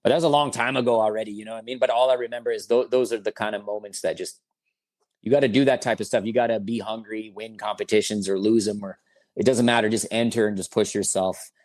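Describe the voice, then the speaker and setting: A man's voice: on the low side, steady tone, quick pace, a little mellow, no room sound. A man in his forties, a rapper guest in a casual interview, talking in a laid-back rambling way full of fillers and slang. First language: German or Italian. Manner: laid-back, rambling with fillers, says "bro" and "you know what I mean".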